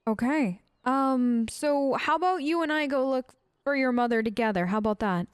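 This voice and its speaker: A woman giving casual, chatty role-play commentary over a video game, playful and gentle, often hesitating and tagging on questions. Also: high-pitched, normal speed, smooth, dry recording, studio quality. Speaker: gamer playing a role-play let's play.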